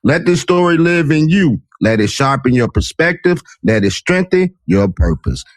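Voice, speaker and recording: A man hosting an interview podcast in an energetic, motivational manner with casual slang. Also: on the high side, normal speed, dry recording, clean studio sound. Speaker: podcast host.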